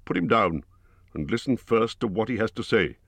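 A man's voice: on the low side, normal speed, fairly bright tone, no room sound, voice pushed up. A man voicing an old, commanding male wizard with a dry, authoritative manner.

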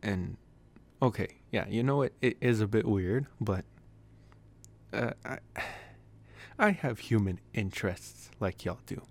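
Low-voiced, intimate young male vampire, played by a man. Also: lively intonation, slow, exaggerated voice. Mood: mildly defensive, amused. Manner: soft, intimate, hesitant phrasing with sighs and chuckles. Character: possessive, teasing young male college vampire, gently reassuring. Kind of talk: ASMR boyfriend roleplay.

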